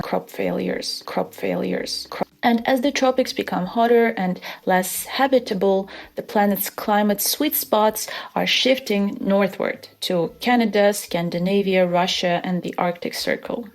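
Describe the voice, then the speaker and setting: A woman from Armenia in her twenties, talking through an essay video in an earnest, measured way with soft laughs. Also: medium pitch, creaky. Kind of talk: scripted explainer with personal story.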